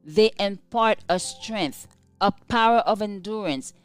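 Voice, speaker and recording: A woman reading a scripted devotional aloud in measured, deliberate phrases. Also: medium pitch, smooth. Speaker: devotional reader. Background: instrumental music.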